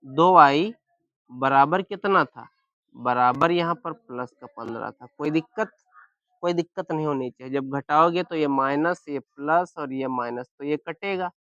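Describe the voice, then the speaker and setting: A man with a sore, hoarse throat teaching a maths lesson step by step, often asking if everyone is following. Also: fairly high pitch, a bit bright, dry recording, highs cut off. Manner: keeps asking "clear?" and "any problem?".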